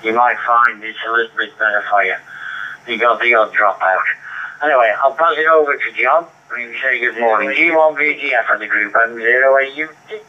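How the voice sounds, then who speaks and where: A man talks casually over amateur radio at an ordinary pitch. His voice comes through a digital two-way radio link, with dull, lo-fi speaker sound. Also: brisk. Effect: digital two-way radio transmission. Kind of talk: casual ham radio conversation with call signs.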